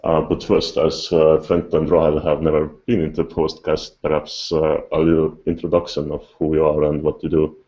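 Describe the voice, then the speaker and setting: A man hosting a casual podcast interview over an internet call, speaking haltingly. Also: rumbling low, completely flat delivery, dark tone, dull audio. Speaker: podcast host. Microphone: online video call.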